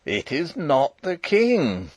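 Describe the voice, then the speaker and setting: A man voicing a loyal, wary old colonel in an audiobook, terse and commanding, with a hushed, hoarse edge. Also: fairly high pitch, huge pitch swings, takes it slowly, no room sound, heavily acted. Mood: grim, urgent. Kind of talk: audiobook character voice.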